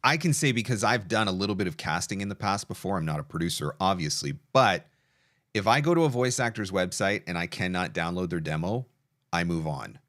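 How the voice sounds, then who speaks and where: A man with a fairly low voice hosts an online session. He talks casually, putting questions to a guest and adding his own practical commentary. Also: animated, a little fast, fairly bright tone. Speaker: session host and voice-over coach. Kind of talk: casual interview chat with commentary.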